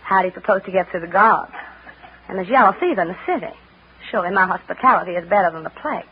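An actress playing a proud, scheming young belle in a 1940s radio drama. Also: female voice, mid-range voice, animated, fast, natural voice. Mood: cool, defiant. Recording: muffled, noisy 1940s radio recording.

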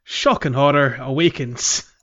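A man's voice: medium pitch, measured pace, a bit bright. A man co-hosting a podcast in casual, informal chat with some jokey banter. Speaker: podcast co-host. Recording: slightly dull audio over music. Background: music.

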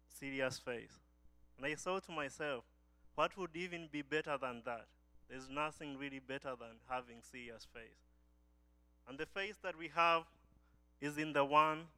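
A man's voice: a little high, sharp tone, clear, resonant tone, no room sound. A young man from Uganda giving an earnest church testimony as a guest speaker, often restarting his phrases.

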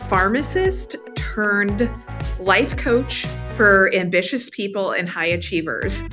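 Animated woman podcast host. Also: medium pitch, takes it slowly, over music. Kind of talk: casual interview chat.

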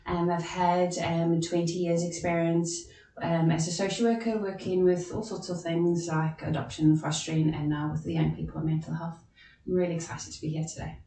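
South african woman, mellow lowish voice, lots of ums, echoey room with faint rumble. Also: little pitch movement. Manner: thoughtful, many "um" pauses. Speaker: social worker. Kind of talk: podcast panel discussion.

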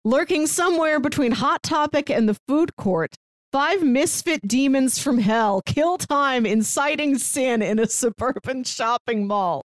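A woman co-hosting a podcast, chatting casually as she describes and pitches shows. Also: expressive, measured pace, no room sound, clean studio sound. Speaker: podcast co-host.

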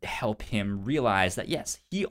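A man co-hosting a podcast, casually discussing a film with a halting, stuttering delivery. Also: on the low side, lively intonation, a bit bright. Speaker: podcast co-host.

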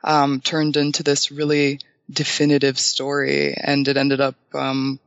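A man, answering interview questions in a thoughtful, hesitant way with many pauses and restarts. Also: medium pitch, monotone, creaky, a bit bright, clean tone, dry recording, dull audio. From Bulgaria. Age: 20s. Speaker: guest.

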